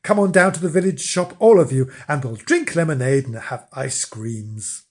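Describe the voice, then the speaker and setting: A man's voice: medium pitch, moderate pace, a little mellow, natural voice. A man voicing a cheery, hospitable village neighbour in a storybook, calling out jolly and inviting. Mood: cheerful.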